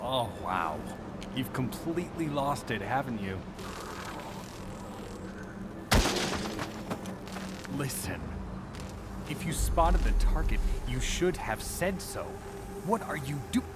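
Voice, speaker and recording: An actor playing an arrogant, scheming soldier in a video game, mocking and domineering. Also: male voice, medium pitch, big pitch swings, unhurried, heavy background noise. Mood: scornful. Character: arrogant, scheming male soldier gloating over his prize.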